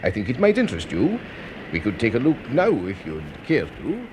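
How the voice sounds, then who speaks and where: A man playing a grave, secretive radio astronomer with a Scottish accent, phrasing deliberately in a radio drama. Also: medium pitch, huge pitch swings, noisy background. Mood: guarded, confiding.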